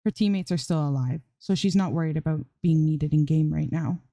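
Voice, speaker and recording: Low, mellow woman reading a story. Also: brisk, dry recording, studio quality. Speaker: amateur fan fiction narrator. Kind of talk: scripted story narration.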